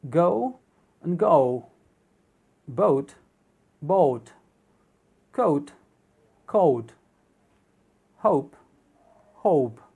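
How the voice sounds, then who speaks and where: A teacher who learned English as a second language narrates a lesson at an even pitch. The delivery is steady and explanatory, pausing to read word lists aloud one item at a time. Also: gravelly, slightly rough. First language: not English. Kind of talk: narrated vocabulary and pronunciation lesson.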